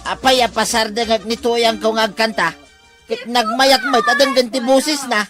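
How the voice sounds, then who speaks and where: A woman's voice: on the high side, steady tone, moderate pace, over music, lightly acted. A woman playing an exasperated village official in an Ilocano comedy drama, firm and argumentative, with an Ilocano accent.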